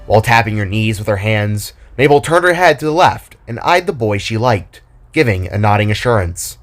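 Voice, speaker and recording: Animated male storyteller over music. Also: on the low side, normal speed. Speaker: storyteller and author. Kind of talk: scripted dramatic story narration. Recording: music behind the voice.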